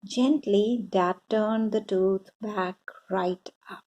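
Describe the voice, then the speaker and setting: A woman reading a children's bedtime story aloud in a deliberate, expressive way for young listeners. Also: mid-range voice, lo-fi sound.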